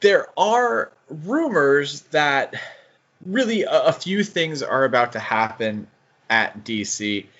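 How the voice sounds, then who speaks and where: A man's voice: a little high, animated, slow, fairly bright tone. Man hosting a podcast, dull lo-fi sound. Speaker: podcast host. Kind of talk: casual podcast discussion.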